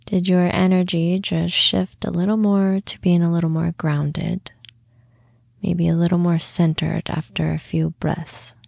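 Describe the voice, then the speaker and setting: A woman leads a guided meditation at a very slow pace, leaving long pauses between phrases. Her voice is mellow and slightly low, and her phrase endings soften into breath. The sound is dry and close. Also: vocal fry, no room sound. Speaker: podcast host and meditation guide.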